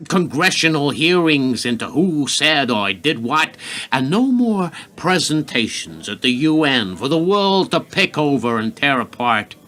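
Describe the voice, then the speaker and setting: A man plays a hawkish senior government aide in a radio drama. He is deliberate and calculating, with commanding authority and pitch that moves widely over a mid-range voice. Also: a bit bright. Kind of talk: radio play acting.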